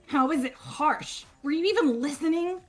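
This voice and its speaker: A woman's voice: high voice, moderate pace, faint music behind, natural voice. A woman voicing a stammering, haughty, venomous young woman with an obsessive devotion to her master, in a fan-voiced game. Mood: indignant. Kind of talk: fan-voiced visual novel game acting.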